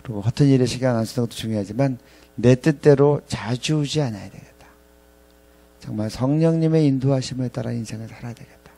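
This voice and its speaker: A man in his fifties delivers a reflective chapel sermon. His voice is mellow and creaky, sitting at an average pitch. He speaks haltingly, pausing often and circling back to repeat phrases. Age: 50s. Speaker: professor preaching at a ministry staff chapel. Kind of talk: reflective sermon with scripture readings.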